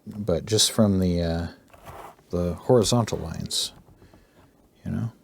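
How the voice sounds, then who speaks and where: A man narrating a relaxed drawing tutorial as the channel host, his voice slightly hoarse, talking loosely with fillers. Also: low-pitched, slow pace.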